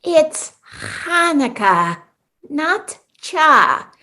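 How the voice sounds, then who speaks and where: A woman's voice: high-pitched, very animated, very slow, sharp tone, exaggerated voice. A young woman playing an exasperated, sarcastic mall mascot in a comic radio play, with theatrical delivery. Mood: sarcastic, lecturing. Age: young adult. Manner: theatrical, sarcastic, big exclamations.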